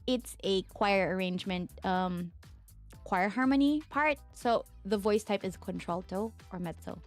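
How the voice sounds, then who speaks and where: A young woman from the Philippines talks casually on a desk mic, rambling with fillers and frequent laughs as she answers her viewers. A lingering sore throat keeps her voice low. Faint music plays underneath. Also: measured pace. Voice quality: mezzo-soprano singing voice. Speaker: streamer. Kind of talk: casual chat with chat readers.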